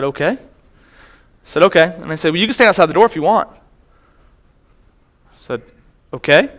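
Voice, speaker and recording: A man preaching from a church pulpit, his voice sitting high for a man. He tells a personal story like a conversation, circling back and restarting his phrases as he goes. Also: a bit bright. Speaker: missionary preacher. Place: church sanctuary.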